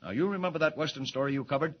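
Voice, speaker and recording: An actor playing a brisk, bossy middle-aged newspaper editor in a 1940s radio drama. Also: male voice, a little high, dull audio, a little hiss or hum, close to an everyday voice.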